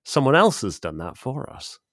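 A man's voice: medium pitch, wildly swooping pitch, normal speed, fairly bright tone, no room sound, clean studio sound. A man co-hosting a podcast, discussing and quoting literature in a wry, discursive, conversational manner. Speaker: podcast host.

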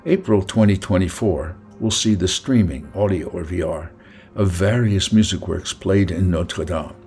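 A man's voice: low voice, a little mellow. A man hosting an interview podcast, speaking as presenter and interviewer. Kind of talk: scripted introduction, then unhurried interview talk.

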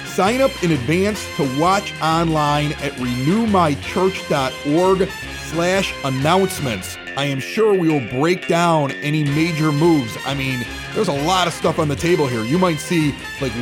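A man hosting a local podcast with an animated radio-announcer delivery. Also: on the high side, moderate pace, music in the background. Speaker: podcast host. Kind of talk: scripted local news reads and casual bar chat. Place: at a bar.

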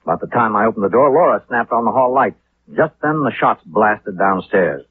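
An actor playing a slick, cynical American city attorney in a 1950s radio drama, speaking in a wry, glib, knowing way. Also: male voice, on the low side, lively intonation, moderate pace, close to an everyday voice. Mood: wry, insistent. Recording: tinny, noisy 1950s radio recording.

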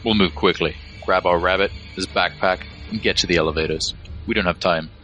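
An actor playing a commanding, level-headed mercenary team leader with a terse, authoritative delivery in an audio drama. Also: male voice, low-pitched, fairly even intonation, a little fast, bright, forceful tone, muffled recording, soft background music.

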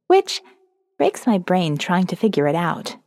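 A woman's voice: lowish voice, sing-song, a little slow, darkish tone, dry recording, studio quality, in a large hall. A woman reading as a sardonic young college student narrating her survivor's diary in a wry, profane, conversational way for an audio novel. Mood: wry, confiding. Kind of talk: first-person diary narration, dramatic reading.

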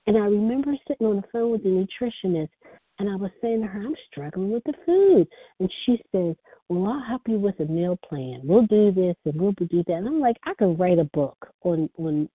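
A soft-spoken woman telling her personal recovery story as the speaker at a phone meeting. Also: on the high side, breathy edge, dry recording. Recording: phone line.

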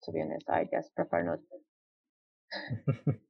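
A woman's voice: deep voice, completely flat delivery, normal speed, creaky, breathy edge, no echo. Hesitant woman guest, dry lo-fi sound. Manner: hesitant, many restarts and fillers. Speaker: podcast guest. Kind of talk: casual interview chat. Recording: dry, dull lo-fi audio.

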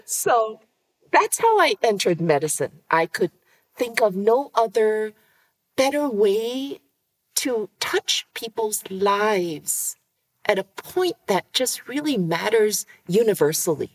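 A woman's voice: mid-range voice, very animated, slow pace, rough recording. A woman from Singapore, a cardiologist guest on a podcast, telling her story with laughter and animation. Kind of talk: podcast interview answer.

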